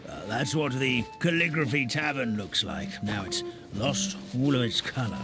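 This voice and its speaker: An actor playing a kindly, forgetful old grandpa in a video game, with a folksy, rambling, exclamatory way of talking. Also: male voice, medium pitch, expressive, moderate pace, natural voice. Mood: folksy, helpful. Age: older. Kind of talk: animated video game character acting. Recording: game music playing behind the voice.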